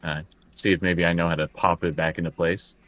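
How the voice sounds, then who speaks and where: Male tabletop player, deep voice, tentative and casual delivery. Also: little pitch movement, brisk, a bit bright. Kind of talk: casual tabletop roleplay chat.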